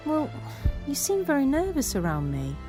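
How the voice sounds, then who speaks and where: A woman's voice: high-pitched, huge pitch swings, normal speed, over music. An actress playing a cheeky, flirtatious woman who teases a colleague. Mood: teasing. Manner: teasing, playful.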